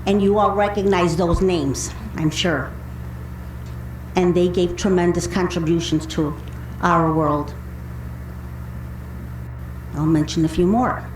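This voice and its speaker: A woman making a public comment at a meeting, speaking in a deliberate, earnest way. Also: on the low side. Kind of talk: public speech. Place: indoor public meeting room. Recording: echoey meeting room with faint hum.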